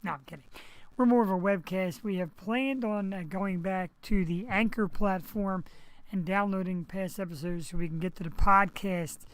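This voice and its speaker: A man hosts a live webcast talk show through a headset microphone. His voice is raspy and hoarse after surgery. He rambles in a chatty way, talking straight to his viewers. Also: high-pitched, steady tone, moderate pace, a bit bright, dry recording, low-quality recording. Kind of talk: casual live webcast monologue.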